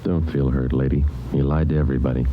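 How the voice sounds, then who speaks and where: An actor playing a terse, matter-of-fact police sergeant in an old radio crime drama, narrating his case in a deadpan way. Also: very deep voice, quick pace. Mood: firm, calm. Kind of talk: 1940s-50s radio crime drama acting, clipped narration. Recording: dull vintage radio recording, loud music.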